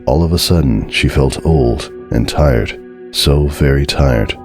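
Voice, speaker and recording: A man giving a dramatic, scripted narration of a horror story in a measured, deliberate and suspenseful way. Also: a bass voice, over music.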